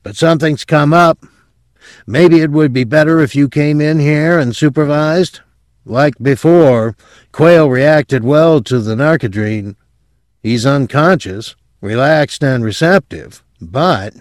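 Man acting an anxious, higher-pitched lab technician. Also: takes it slowly, dry recording, clean studio sound. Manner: hesitant, worried. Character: anxious apologetic male lab technician calling his boss.

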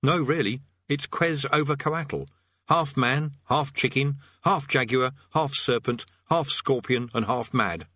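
A man voicing a nervous, cowardly man in a storybook who babbles excuses in a hurried, argumentative way. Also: medium pitch, pushed and bright, dry recording, highs cut off, pitched above the performer's own voice. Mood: nervous, evasive. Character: nervous, cowardly, evasive man dodging trouble.

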